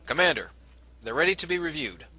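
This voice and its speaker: A man's voice: a little high, very animated, fairly quick, pushed and bright, husky, exaggerated voice. A man acting a lighter-voiced briefing agent. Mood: businesslike. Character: dutiful male military intelligence agent giving briefings. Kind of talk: audio drama acting. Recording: music bed under the voice.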